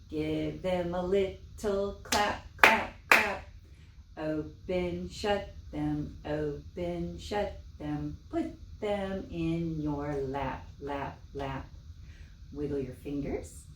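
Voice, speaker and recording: A woman reading a children's story as a library storytime host, expressive and speaking in simple short phrases. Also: low voice, slight background noise. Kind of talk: animated children's storytime narration. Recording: echoey room, distant mic.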